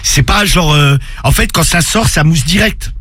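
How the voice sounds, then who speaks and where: A man's voice: a little high, moderate pace, a bit bright, slight background noise. A man co-hosting a morning radio show, teasing the others and explaining things in casual banter. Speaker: radio co-host. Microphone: studio microphone.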